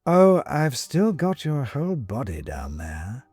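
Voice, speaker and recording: A man voicing a sly, predatory house cat that taunts its prey in a slow, condescending drawl. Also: medium pitch, huge pitch swings, darkish tone, slightly rough, dry recording, soft background music, voice pushed up, full character voice. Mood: taunting.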